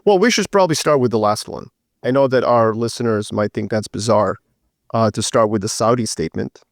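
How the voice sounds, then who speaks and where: A man co-hosting a podcast, laying out news in a measured, analytical way with a few fillers. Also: on the low side, dry recording, studio quality. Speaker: podcast co-host. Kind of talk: casual current-affairs discussion.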